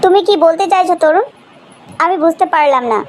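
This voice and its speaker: A woman's voice: extremely high pitch, brisk, a little hiss or hum. A woman voicing a proud, touchy, self-centred young college woman in cartoon drama dialogue. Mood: indignant.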